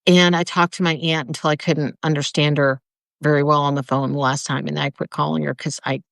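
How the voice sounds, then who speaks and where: Soft, hesitant voice, dry sound. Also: medium pitch, little pitch movement, brisk, vocal fry, no room sound. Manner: hesitant, reflective, soft-spoken with restarts. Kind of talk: casual conversation.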